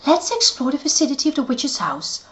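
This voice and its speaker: A woman's voice: sits high, wildly swooping pitch, brisk, voice pushed up. A woman voicing a small, bossy and impatient female fairy. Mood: eager.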